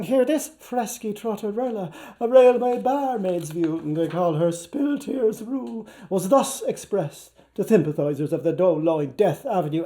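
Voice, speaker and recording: An Irish man gives an animated, playful literary reading as an actor before a live audience, shifting between characters. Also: sits high. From Ireland. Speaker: actor, reader.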